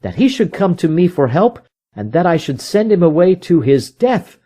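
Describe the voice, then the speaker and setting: An intense, vengeful detective from a storybook, acted out by a male reader, pitched high and swinging widely as he speaks. He is proud and brooding, and declares things with agitated emphasis. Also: sing-song, moderate pace, dark tone, breathy edge. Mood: wounded, resolute. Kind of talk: dramatic audiobook character reading.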